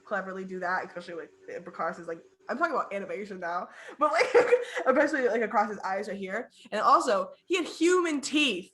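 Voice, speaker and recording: A young woman in casual podcast chat, laughing often and talking in quick bursts. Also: medium pitch, very animated, fairly bright tone, slight background noise. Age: young adult. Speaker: podcast co-host.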